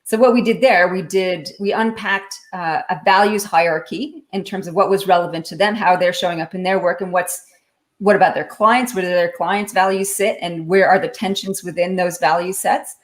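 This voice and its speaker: Articulate woman, lowish voice. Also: steady tone, moderate pace. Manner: articulate, explanatory, lightly laughing. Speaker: guest, leadership author and consultant. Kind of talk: live online interview.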